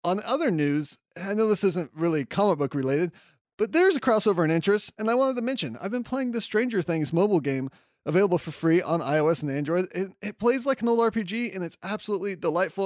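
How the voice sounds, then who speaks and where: A man's voice: on the high side, no room sound, clean studio sound. A man hosting a solo podcast, running through news in a chatty, informal and enthusiastic way. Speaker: podcast host. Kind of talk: casual solo news commentary.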